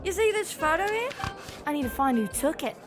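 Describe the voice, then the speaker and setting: A woman's voice: very high-pitched, very animated, brisk. An actress playing a bold, cheeky young girl sleuth in an audio drama, brash and direct, fond of gossip. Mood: brash, insistent. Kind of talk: scripted audio drama acting. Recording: music behind the voice.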